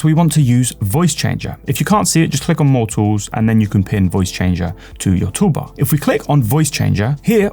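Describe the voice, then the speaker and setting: Man presenting a step-by-step software tutorial. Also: mid-range voice, creaky, husky. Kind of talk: instructional software walkthrough.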